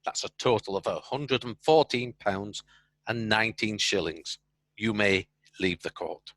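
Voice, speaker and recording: A man performs a chairman of the bench in a radio courtroom play. His voice is fairly low and recorded dry. He pronounces sternly, with formal authority. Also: a little slow, a bit bright, no echo. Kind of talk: radio courtroom drama acting.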